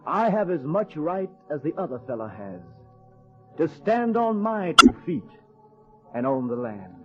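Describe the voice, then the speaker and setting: Earnest young busboy poet, expressive, muffled. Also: male voice, on the high side, unhurried, faint music behind. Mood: impassioned, declaiming. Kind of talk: old-time radio drama acting. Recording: muffled vintage radio recording.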